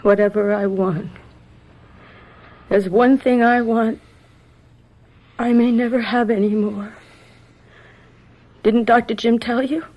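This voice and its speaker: An actress playing a hurt, stubborn college-age daughter, subdued yet defiant, in a radio drama. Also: female voice, a little high, fairly even intonation, takes it slowly, long pauses, darkish tone, low-quality recording. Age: young adult.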